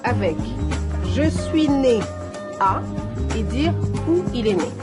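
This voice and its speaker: An actress playing a patient teacher leading a literacy class with clear, encouraging speech. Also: female voice, a little high, lively intonation, takes it slowly, over loud music.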